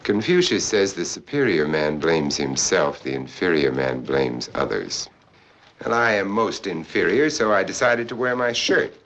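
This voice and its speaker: An actor playing a wry, world-weary adventurer for hire who drinks hard, speaking in a theatrical, sardonic and lyrical way in an old film. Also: male voice, deep voice, big pitch swings, unhurried, a bit bright, exaggerated voice. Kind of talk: old film acting with poetic first-person narration. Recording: muffled old film soundtrack, faint music.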